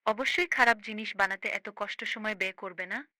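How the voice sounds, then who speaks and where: A woman's voice: mid-range voice, a little fast, bright, forceful tone, dry recording. A woman playing a firm, caring older sister in a cartoon, lecturing her grown brother in an emphatic, preachy way. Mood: reproving. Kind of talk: amateur cartoon voice acting.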